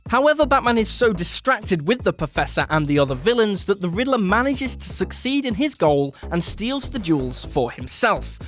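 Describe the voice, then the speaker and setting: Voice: mid-range voice, a bit bright. A presenter reading a scripted countdown in an emphatic storytelling way, with cheeky, slangy asides. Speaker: presenter of a comics countdown video. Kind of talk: scripted narration of a countdown list.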